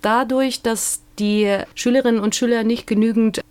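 A woman guest expert explaining her field in a measured way, with occasional hesitations. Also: on the high side, steady tone, a little mellow, clean tone. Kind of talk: explanatory discussion.

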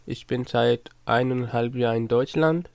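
A man's voice: medium pitch, a little slow, gravelly, a little mellow, clear, resonant tone, dry recording. A man in his twenties from Pakistan with a Pakistani accent, speaking plainly in simple sentences in a radio studio. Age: 20s. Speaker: interview guest.

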